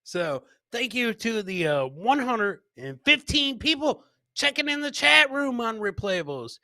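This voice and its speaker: A man's voice: very high-pitched, big pitch swings, measured pace, pushed and bright, no echo. A man hosting a live comedy show, riffing on video clips in a boisterous, jokey way and repeating catchphrases. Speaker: podcast host.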